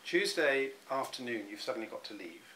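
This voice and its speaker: A man's voice: medium pitch, animated, a little fast, pushed and bright, slight background noise, rough recording. A middle-aged man, speaking in an interview and often restarting his words as he thinks. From England. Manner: thoughtful, many restarts and repeated words. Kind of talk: reflective personal interview.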